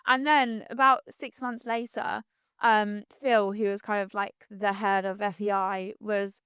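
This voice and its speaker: A woman's voice: mid-range voice, little pitch movement, measured pace, fairly bright tone, smooth, dry recording, studio quality. Chatty young woman. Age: 20s. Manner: chatty, reflective, many "like"s and "you know"s. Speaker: podcast guest. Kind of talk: casual conversational interview.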